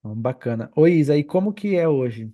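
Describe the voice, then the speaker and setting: A man's voice: on the high side, expressive, moderate pace, lo-fi sound. A Brazilian man co-hosting a podcast, asking questions in casual, chatty interview style. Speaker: podcast co-host.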